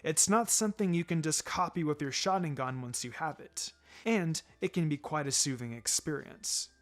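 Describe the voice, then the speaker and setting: A man voicing a laid-back adult male ninja mentor in a fan fiction reading, wry and gently sombre, speaking in a measured, low-key way. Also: close to an everyday voice. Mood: wry, teasing. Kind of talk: fan fiction audiobook character acting.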